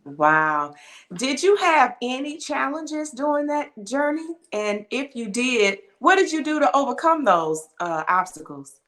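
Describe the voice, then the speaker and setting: A woman's voice: high voice, very animated, a little slow, a bit bright. Affirming woman co-host asking questions. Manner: affirming, frequent agreement. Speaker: podcast co-host.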